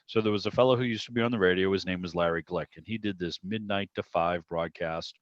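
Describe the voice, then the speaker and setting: A man's voice: sits low, steady tone, fast talker. A man chatting casually as an interviewer, telling anecdotes in a rambling way over a video call. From Boston area, United States. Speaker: interviewer and club host. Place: indoor room on a video call. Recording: roomy, dull lo-fi call audio.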